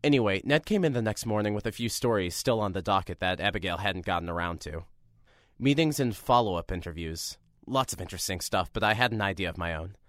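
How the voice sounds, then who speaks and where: A man's voice: low-pitched, fast talker, dry recording, natural voice. A young man narrating an audio drama in first person as a reporter, dry and matter-of-fact. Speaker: narrator and lead character, a young radio reporter.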